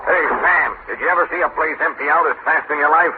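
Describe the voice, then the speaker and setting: A man's voice: on the high side, fast talker, narrow, tinny audio, lightly acted. An actor playing a tough, loyal Old West cowboy gunman in an old-time radio western. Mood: brash, urgent. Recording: noisy, band-limited vintage radio broadcast.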